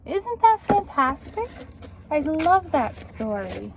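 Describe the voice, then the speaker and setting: A woman reading a children's picture book aloud in an expressive, encouraging, teacherly way, pausing to put questions to young listeners. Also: high-pitched, heavy background noise.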